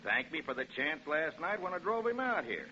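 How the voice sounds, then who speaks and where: A breezy American property salesman in a 1940s radio play. His voice is bright and very lively, with a folksy, reassuring patter. The recording is muffled and old. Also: a little high, a little fast, slight background noise. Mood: breezy, persuasive. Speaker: real estate agent.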